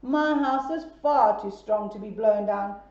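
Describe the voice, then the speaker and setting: A woman voicing a calm, confident little male pig with a firm, cheery manner, in an echoey room with dull lo-fi sound. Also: medium pitch, unhurried, voice pushed down, lightly acted. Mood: defiant.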